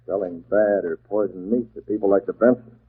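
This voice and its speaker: An actor playing a masked vigilante posing as a menacing crime boss, commanding and clipped, in 1940s radio style. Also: male voice, fairly low pitch, a little fast, slightly rough. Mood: menacing, controlled. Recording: muffled, tinny 1940s radio recording.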